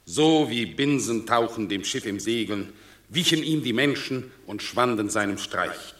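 An actor playing a solemn Roman orator, declaiming verse in a classic radio drama. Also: male voice, mid-range voice, animated, measured pace, fairly bright tone, reverberant. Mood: solemn praise. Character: solemn male Roman orator praising a hero. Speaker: Roman consul reporting a battle.

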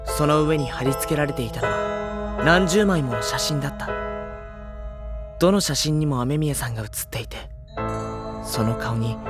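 A level-headed teenage schoolboy played by a man in an audio drama, with loud music behind him. He speaks politely to his senior, with dry, exasperated retorts, and narrates his own thoughts. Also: mid-range voice, close to an everyday voice. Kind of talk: Japanese audio drama dialogue with introspective narration. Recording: loud background music under the voice.

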